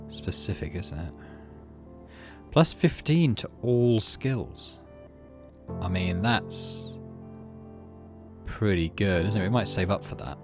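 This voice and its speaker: Man musing through a game, low voice. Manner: muses aloud, thinking through choices. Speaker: gaming video host. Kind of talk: casual let's play commentary with narrated game text.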